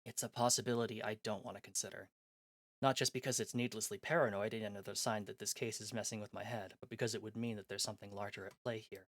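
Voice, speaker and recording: A man streaming a visual novel, reading its text aloud and chatting between scenes in an animated, self-mocking, jokey way. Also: fairly low pitch, fast talker, no echo, studio quality. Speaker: game streamer. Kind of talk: live-stream chat, reading a game aloud.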